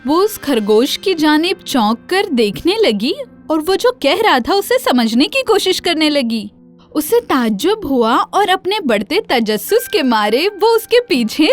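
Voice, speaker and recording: High, animated woman storyteller. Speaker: storyteller, narrator. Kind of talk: scripted children's fairy tale narration.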